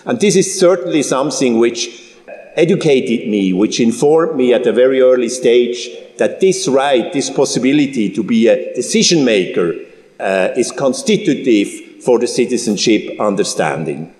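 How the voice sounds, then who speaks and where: A man's voice: medium pitch, expressive, darkish tone. Guest speaker, man, fifties, from Switzerland; hesitant, restarts often; big reverberant hall. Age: 50s. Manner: halting, many restarts and fillers. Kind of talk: public talk. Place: large church hall. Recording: roomy hall with long reverb.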